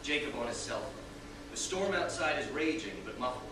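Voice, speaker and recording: A man's voice: fairly high pitch, expressive, brisk. A man reading scripted scene directions as narrator, recorded at a distance in a very echoey, noisy room.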